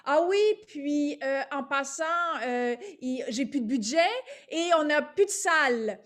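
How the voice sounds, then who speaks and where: Québécois woman, middle-aged, high voice, lively webinar guest. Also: measured pace. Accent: Québécois French. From Quebec, Canada. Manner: lively and talkative, laughing often, with frequent fillers. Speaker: guest speaker, entrepreneur. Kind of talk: webinar presentation. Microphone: video call.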